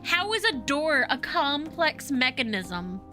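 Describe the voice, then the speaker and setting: A woman's voice: sits high, big pitch swings, slow pace, pushed and bright, faint music behind. A woman streaming a game from home with a stuffy nose, chatting casually and teasing with frequent giggles. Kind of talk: casual gaming commentary.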